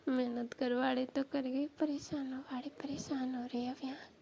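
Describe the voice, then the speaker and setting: A woman's voice: high-pitched, flat delivery, moderate pace, gravelly, mellow, breathy edge, full character voice. A woman playing a coy village sister-in-law on a phone call, with a Rajasthani accent and a languid, teasing manner. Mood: drowsy, dismissive. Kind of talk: staged phone call, casual chat.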